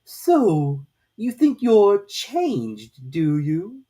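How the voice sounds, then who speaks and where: A haughty storybook caterpillar, irritable and contemptuous. Each curt phrase is stretched out slowly and pushed out loud, with big swoops in pitch well above a normal speaking register. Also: female voice, sing-song. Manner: slow, drawn-out, curt and haughty. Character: contemptuous, irritable talking caterpillar smoking a hookah.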